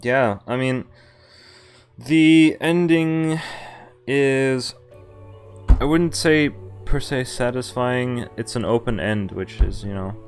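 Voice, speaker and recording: A man giving casual, halting livestream commentary as a game streamer, often trailing off mid-thought. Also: mid-range voice, clear, resonant tone, soft background music.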